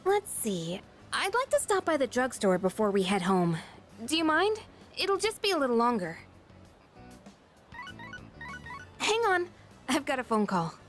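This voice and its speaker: A woman's voice: high-pitched, big pitch swings, moderate pace. A woman playing a proud, guarded, independent teenage girl in an anime-style video game, speaking in a frank, firm way. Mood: casual. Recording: music behind the voice.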